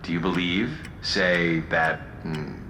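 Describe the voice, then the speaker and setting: An actor playing a mocking, menacing male prisoner in a radio drama, theatrical and softly condescending. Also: low-pitched, takes it slowly, highs cut off, a little hiss or hum, exaggerated voice.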